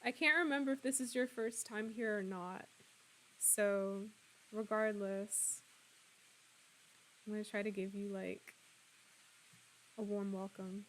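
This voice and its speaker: A woman in her twenties, slightly hoarse, chatting casually and laughing often as she streams a game and answers her viewers. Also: mid-range voice, steady tone, slow, pauses often, a bit bright, slight background noise, low-quality recording. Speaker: streamer playing a horror game. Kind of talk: casual live-stream chat, reading out viewer comments.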